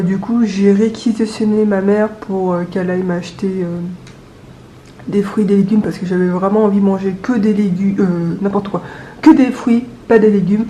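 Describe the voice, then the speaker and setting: A young adult woman with a cold and a stuffy nose, chatting casually to camera, with many hesitant pauses. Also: medium pitch, dark tone, reverberant, a little hiss or hum. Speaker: budgeting vlogger.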